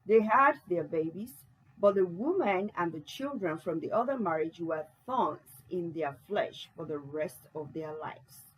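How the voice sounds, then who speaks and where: Stage narrator explaining events read by a woman, husky, lively pitch. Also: measured pace, rough recording.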